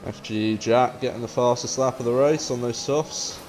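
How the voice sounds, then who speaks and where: A man's voice: medium pitch, steady tone, normal speed, a little mellow, slight background noise. A man casually commentating a sim racing stream in a laid-back, matter-of-fact way, reading out viewer chat between updates. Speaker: esports race commentator.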